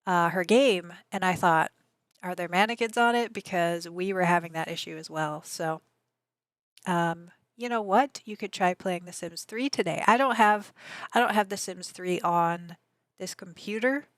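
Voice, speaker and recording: A woman streamer with a slightly raspy voice, chatting casually and answering her viewers. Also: on the low side, moderate pace, no echo, clean studio sound. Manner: rambling, chatty, answers chat messages aloud. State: allergies. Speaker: game streamer.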